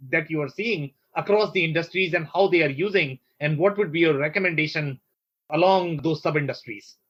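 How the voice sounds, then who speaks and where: A man's voice: sits high, bright, forceful tone, dull audio. A man hosting a podcast, asking long, winding questions with frequent "let's say". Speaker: podcast host. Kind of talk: interview questions.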